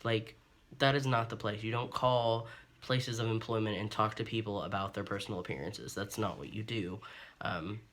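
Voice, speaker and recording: A man's voice: fairly low pitch, fairly even intonation, moderate pace, fairly bright tone. A young man in his twenties from Ohio, talking openly and earnestly to camera in a rambling vlog full of ums and restarts. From Ohio, USA. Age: 20s. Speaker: vlogger. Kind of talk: candid personal monologue to camera.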